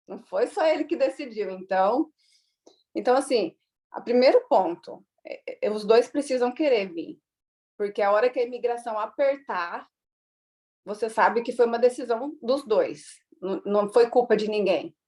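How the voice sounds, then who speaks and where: A woman's voice: a little high, a little mellow. A woman from Brazil, a guest in an interview, telling family stories in a chatty way full of fillers and acted-out dialogue. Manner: chatty, many fillers, acts out quoted dialogue. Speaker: guest, immigrant mother. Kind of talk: casual interview storytelling.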